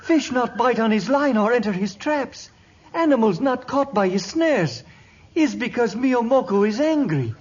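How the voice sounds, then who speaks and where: High, husky young lovesick herdsman in broken English. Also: expressive, a little slow, full character voice. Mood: distressed. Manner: speaks broken English, dropping small words. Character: lovesick, troubled young native herdsman in a jungle adventure. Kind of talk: 1950s radio drama acting. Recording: dull vintage radio recording.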